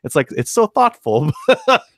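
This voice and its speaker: A man chatting casually about technical details as a podcast panelist, informal, halting and enthusiastic. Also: fairly high pitch, sing-song, dry recording, clean studio sound.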